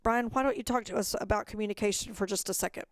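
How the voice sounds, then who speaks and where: A woman's voice: medium pitch, fast, no room sound. A woman giving an informational webinar presentation, explaining step by step in an instructional way. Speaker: program staff presenter.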